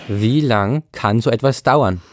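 A woman's voice: a bass voice, a little slow, dry recording, clean studio sound. A woman playing a flustered, anxious latecomer in an amateur audio drama. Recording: clearly noisy, clicks.

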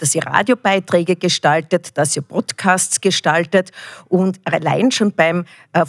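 A woman's voice: on the low side, no echo. Middle-aged Austrian woman, dry close sound. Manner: encouraging, praising. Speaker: university rector, interview guest. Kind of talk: interview answers addressed to children.

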